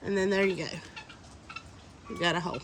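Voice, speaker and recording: A woman's voice: mid-range voice, little pitch movement, brisk. A woman with a stuffy, congested voice, chatting casually as she shows how to repot seedlings outdoors in the wind. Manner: chatty, homey and instructive. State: congested from a cold. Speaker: homesteading vlogger. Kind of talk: casual how-to gardening vlog. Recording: faint wind noise outdoors.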